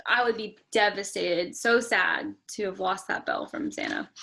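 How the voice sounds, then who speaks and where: A woman's voice: medium pitch, a little slow, creaky, sharp tone. A woman reading a children's picture book aloud expressively, with chatty asides spoken directly to young listeners. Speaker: storytime reader.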